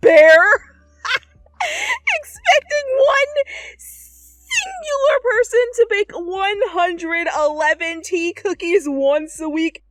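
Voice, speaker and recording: A woman reading fan fiction aloud in an expressive, comedic style, with dramatic and conversational asides, close to the mic. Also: extremely high pitch, very slow, no echo. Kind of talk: expressive, comedic story narration. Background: faint background music.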